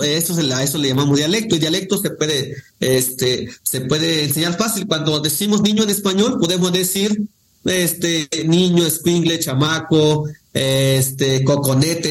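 A man, a Nahuatl speaker from Guerrero, reading poems and explaining language in an unhurried way, with many pauses and fillers. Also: fairly high pitch, low-quality recording. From Guerrero, Mexico. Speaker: guest poet. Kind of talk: poetry reading and casual conversation.

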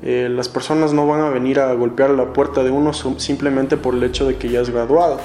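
A man's voice: medium pitch, flat delivery, brisk, mellow, soft background music. Young Ecuadorian man in echoey room. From Quito, Ecuador. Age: young adult. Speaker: university student interviewee. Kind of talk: spontaneous interview answer.

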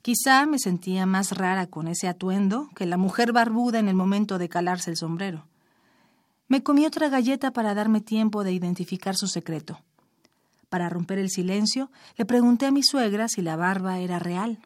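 A woman reading her own short story aloud in a measured, expressive storytelling manner. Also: mid-range voice, dry recording, clean studio sound.

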